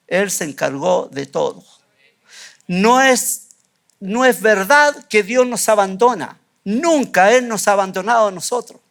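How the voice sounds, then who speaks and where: A pastor with a high, light voice delivers a fervent sermon in a church, repeating key phrases and pausing for emphasis. He drops softer and lower at times, then builds again. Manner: fervent, repetitive preaching with emphatic pauses. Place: church sanctuary.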